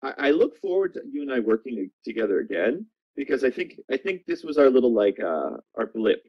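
Casting: man in his thirties, animated casual guest, dry, dull call audio. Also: medium pitch, fairly quick, slightly rough, no echo. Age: 30s. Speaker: interview guest. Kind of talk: casual chat. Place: small plain room indoors, video call.

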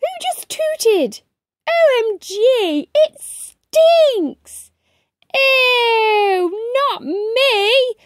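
A woman's voice: extremely high pitch, lively intonation, extremely slow, no echo, studio quality, voice pushed up, full character voice. A woman voicing squeamish little storybook animals, exclaiming in shocked, indignant squeals. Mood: disgusted, indignant.

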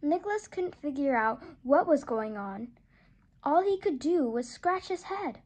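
A woman reads a children's picture book aloud at a slow, unhurried pace. Her voice sits high and light, and she reads gently and expressively, the way one reads to small children. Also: sing-song. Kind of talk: read-aloud storytelling. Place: indoors at a table, turning a picture book.

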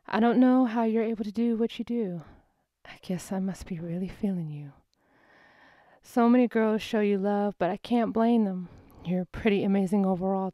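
Woman, spoken word poet, dark warm tone, medium pitch, intimate expressive delivery, breathy dips, dry close sound. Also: no room sound. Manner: intimate, expressive, phrases drop to breathy near-whisper. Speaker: poet reading own work. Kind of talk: spoken word poetry performance.

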